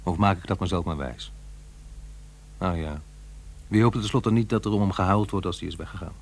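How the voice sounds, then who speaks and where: An actor playing a troubled, reproachful divorced father in middle age, halting and earnest, in a Dutch radio play. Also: low voice, vocal fry. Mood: brooding, confiding. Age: middle-aged. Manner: halting, earnest, self-questioning.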